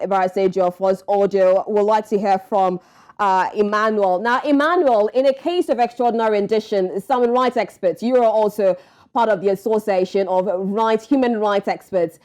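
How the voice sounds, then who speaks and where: A woman's voice: mid-range voice, normal speed, darkish tone. Female news anchor, Nigerian accent, mid-range voice, formal presenting and interviewing style.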